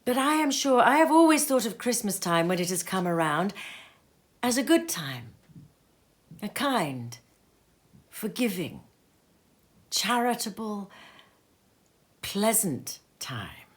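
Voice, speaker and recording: A woman's voice: medium pitch, very animated, slow, pauses often. A woman voicing a cheerful, earnest young man who pleads with his uncle, read at home in a sitting room. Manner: earnest, pleading, warm-hearted.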